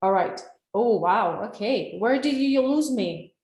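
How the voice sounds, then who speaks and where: A woman with a Russian accent talks through a wellness webinar, earnest and personal, turning to her audience with questions. Her voice sits at a middle pitch and comes over a computer mic on a video call. The room around her sounds echoey. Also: lively intonation, unhurried, darkish tone. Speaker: wellness coach. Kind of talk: live webinar presentation.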